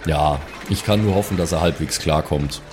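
Man playing a very deep-voiced, courteous tough adventurer. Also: moderate pace, music in the background. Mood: courteous, dry. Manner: polite, understated, formal address.

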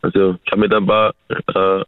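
A man co-hosting a radio show, chatting in a relaxed, hesitant way. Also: low voice, moderate pace, dry recording, narrow, tinny audio. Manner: relaxed, hesitant with fillers, joking. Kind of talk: casual radio chat. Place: radio studio.

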